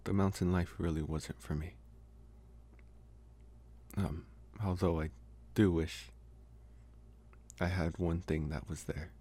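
Man playing a very deep, halting young vampire. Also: little pitch movement, mellow. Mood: reflective, open. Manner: halting, hesitant, trying to sound intimidating. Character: socially awkward young male college vampire, possessive yet earnest. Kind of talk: ASMR roleplay, intimate monologue to the listener.

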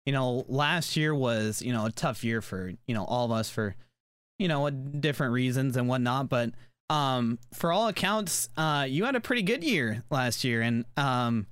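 A man's voice: mid-range voice, lively intonation, moderate pace, a bit bright, smooth, no echo, studio quality. A man hosting a casual podcast interview, rambling through his questions with many fillers and restarts. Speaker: podcast host.

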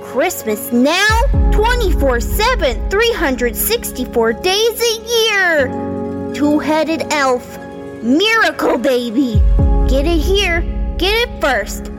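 An actor playing a young newsboy in an old-time radio drama, calling out sensational headlines in drawn-out cries. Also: high voice, big pitch swings, over music, heavily acted. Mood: excited, hawking.

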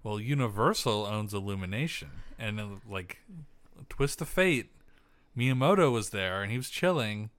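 A man's voice: lowish voice, expressive, moderate pace, a bit bright, dry recording. Rambling man on a close, dry mic. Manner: rambling storyteller, jokey asides. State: losing his voice. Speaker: podcast co-host. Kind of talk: casual movie podcast chat.

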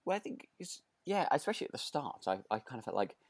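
A man co-hosting a podcast in casual conversation, phrasing things in a gentle, tentative way. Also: medium pitch, sing-song, fast talker, breathy edge, no room sound. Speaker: podcast host; actor. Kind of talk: relaxed casual chat about a radio drama.

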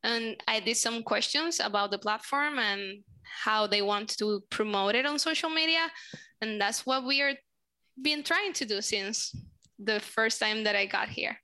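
Young puerto rican woman, spanish accent, bright highish voice, lots of um and like. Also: a little slow. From Puerto Rico. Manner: searching for words, frequent fillers. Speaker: social media manager, guest. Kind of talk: casual interview answers.

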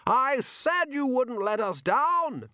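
A man voicing a brisk, hearty old archchancellor wizard who talks in a bluff, commanding way. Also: extremely high pitch, sing-song, sharp tone, clear, resonant tone, dry recording, clean studio sound, pitched above the performer's own voice, full character voice. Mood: hearty shouting.

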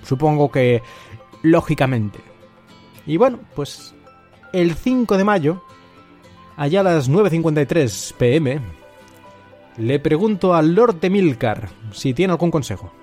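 A man's voice: a little high, sing-song, unhurried, long pauses, darkish tone, soft background music. A man from Spain with a Castilian Spanish accent, hosting a solo podcast and telling stories in a conversational way. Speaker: podcast host. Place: at home.

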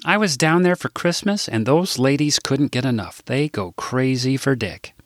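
A man's voice: medium pitch, expressive, normal speed, dry recording, clean studio sound, voice pushed up, lightly acted. A man voicing a cheerful, innocent Midwestern grandmother over 60, earnest and sing-song with a Midwestern accent. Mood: gushing.

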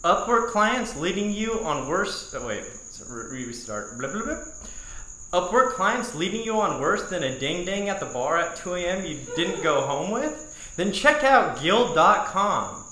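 A young American man co-hosts a podcast. His voice is on the high side and sharply bright, with noticeable room echo. He moves between loose chat and reading sponsor copy. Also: sing-song, a little slow. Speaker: podcast co-host. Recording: roomy, echoey sound.

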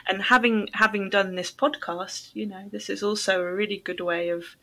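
A woman's voice: medium pitch, fairly even intonation, normal speed, pushed and bright. A woman hosting an English-learning podcast, chatting with a guest in an encouraging, conversational way. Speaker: podcast host and English teacher.

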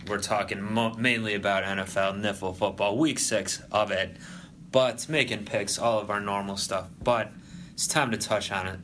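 A man's voice: on the low side, fairly bright tone, a little hiss or hum. A young man hosting a casual sports podcast, normally laid back but trying to bring extra energy. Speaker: podcast host.

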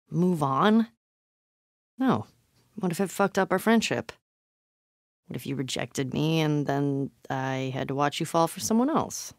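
A woman's voice: low-pitched, no room sound, clean studio sound. An actress playing a tough, sardonic young American woman survivor in a video game, naturalistic and clipped, often speaking under her breath. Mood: earnest, nervous.

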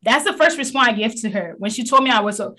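A woman from Africa with a thick West African accent, telling a personal story in a casual discussion, rising in pitch for emphasis. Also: expressive, quick pace, sharp tone.

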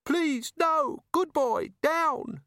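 A desperate crook, played by a male audiobook reader, pitched well up and swooping about. He whines and pleads frantically. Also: very animated, slow, a bit bright, no room sound, studio quality, full character voice. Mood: panicked. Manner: whining, pleading. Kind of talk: audiobook character voice.

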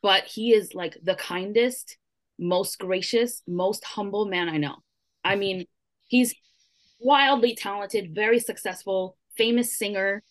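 A woman chatting on a podcast, telling an animated story with a lot of "like" fillers. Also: mid-range voice, unhurried. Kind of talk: casual discussion.

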